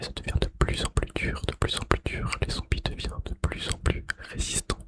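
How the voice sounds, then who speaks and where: A young man whispering ASMR gaming facts in a breathy, unvoiced voice with mouth sounds, slightly out of breath, repeating phrases slowly, close to the microphone. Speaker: ASMR video creator. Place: bedroom.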